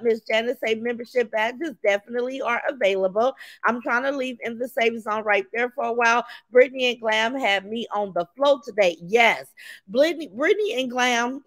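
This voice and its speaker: A woman with a raspy voice hosting a casual livestream, reading out chat names and talking bluntly and profanely. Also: a little high, dry recording. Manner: brash and profane, reads chat names aloud. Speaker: livestream host.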